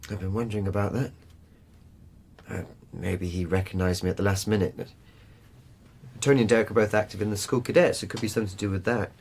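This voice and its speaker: A man's voice: fairly low pitch, steady tone, fast talker, pauses often, darkish tone, a little hiss or hum, natural voice. An actor playing a cool, cagey teenage boy being questioned in a radio drama, hesitant and evasive. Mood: guarded.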